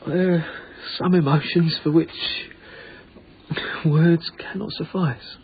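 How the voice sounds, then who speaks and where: A British actor playing an earnest, emotional young Victorian working man in a radio drama, with halting, formal phrasing. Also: on the high side, fairly even intonation. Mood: earnest, moved. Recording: narrow tinny band, faint background noise.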